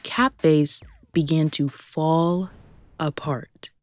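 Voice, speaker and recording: Sassy woman narrating commentary, lowish voice. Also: fairly even intonation, very slow. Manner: sassy, casual, swears and chuckles. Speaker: commentary video host.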